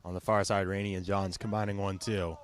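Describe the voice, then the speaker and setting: A man with a lowish voice gives live soccer commentary for television. He follows the action in short, clipped phrases, as a play-by-play announcer does. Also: flat delivery, fairly quick. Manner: clipped, fragmentary calls of the action.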